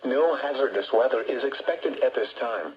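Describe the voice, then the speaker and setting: Radio reception with faint noise, male weather announcer, mid-pitched voice, scripted bulletin. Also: expressive, normal speed, lo-fi sound. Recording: noisy radio reception from a small speaker.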